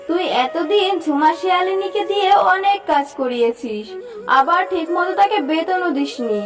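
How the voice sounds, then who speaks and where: Very high-pitched righteous magic tree spirit, voiced by a woman. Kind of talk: children's cartoon dubbing.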